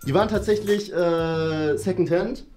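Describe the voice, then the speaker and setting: A man's voice: high voice, measured pace, background noise. A man in his twenties hosting in a casual, teasing, jokey way. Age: 20s. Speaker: host. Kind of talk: casual playful banter. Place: small furnished room indoors. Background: background music.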